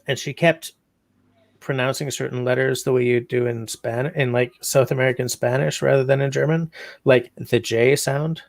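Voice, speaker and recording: Male livestream host, gravelly, dry close mic, rambling chat. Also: medium pitch, steady tone, moderate pace, no echo. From Canada. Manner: meandering, reads out chat comments.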